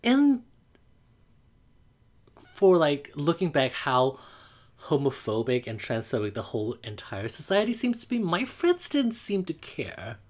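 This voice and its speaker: A man, calmly telling a personal coming-out story to the camera, often pausing on "like" as he thinks. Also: a little high. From Burma. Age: 20s. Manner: reflective, unhurried, frequent "like" fillers.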